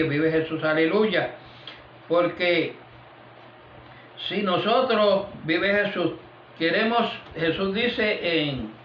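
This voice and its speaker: A man's voice: a little high, slight background noise. A man preaching an informal family prayer sermon, repeating "vive Jesús" and "aleluya" between phrases and dropping his voice on them. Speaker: lay preacher. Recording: echoey, dull, lo-fi room recording.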